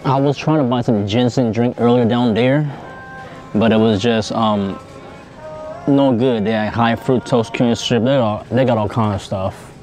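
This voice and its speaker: A man chatting casually to his viewers as he shops in a grocery store, thinking out loud and repeating himself. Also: mid-range voice, steady tone, normal speed, soft background music. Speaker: vlogger. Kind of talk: casual vlog chat.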